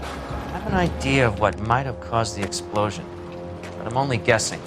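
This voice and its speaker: Lively-pitched, dry investigator played by a man. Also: normal speed, music in the background, lightly acted. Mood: matter-of-fact. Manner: dry, matter-of-fact, expository. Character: measured, knowing adult male investigator at disaster scene. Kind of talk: video game voice acting.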